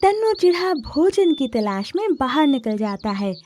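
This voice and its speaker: Animated, high-pitched woman storyteller. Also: a little slow, a little hiss or hum. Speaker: narrator. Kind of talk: scripted children's story narration.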